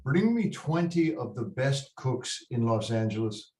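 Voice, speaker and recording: A man's voice: mid-range voice, sing-song, measured pace, darkish tone. A man playing a bossy, hot-tempered but doting Mexican patriarch at a table read, exasperated, swearing and slipping into Spanish. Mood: bossy, irritated. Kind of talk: table read of a comic Shakespearean-style play. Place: indoor room, on a video call. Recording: roomy echoing video-call audio.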